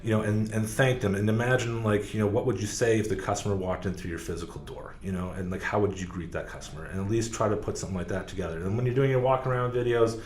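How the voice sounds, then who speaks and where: A man's voice: fairly low pitch, fast talker, few pauses, darkish tone. Man, podcast guest, casual rambling speech, roomy echo. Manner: rambling, many fillers and restarts. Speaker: podcast guest, car sales professional. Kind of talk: casual interview talk. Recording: echoey room, faint background noise.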